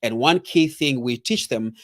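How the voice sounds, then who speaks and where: Man, middle-aged, Kenyan accent, medium pitch, motivational trainer, emphatic delivery, direct address. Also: a little slow. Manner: emphatic, repeats key points, addresses listeners directly. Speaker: leadership trainer and coach.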